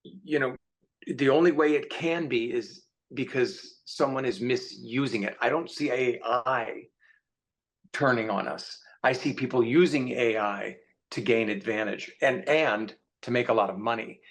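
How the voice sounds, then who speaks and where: A man talks as a guest author on a conversational panel. His voice sits at a middle pitch, and he sets out his opinions earnestly in a casual, explanatory way. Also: unhurried.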